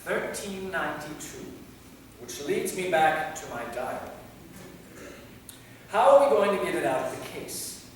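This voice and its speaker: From far off in an echoing hall comes a man acting as a medieval vampire knight in a stage comedy. He is dry and terse, his voice on the higher side. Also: lively intonation, unhurried, pauses often. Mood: dry, impatient. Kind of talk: staged reading of a comic play. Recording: echoey hall from far off, noisy.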